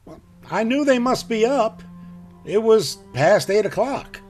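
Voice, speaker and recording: A man playing a plain-spoken rural farmer in a live audio drama, telling his story in a folksy, halting way. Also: sits high, very animated, darkish tone, faint music behind. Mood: uneasy recounting.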